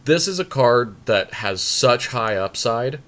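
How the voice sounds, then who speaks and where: A man's voice: mid-range voice, lively intonation, slow, a bit bright. A man co-hosting a podcast, thinking aloud in casual, slangy commentary as he plays a card game draft. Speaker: podcast co-host.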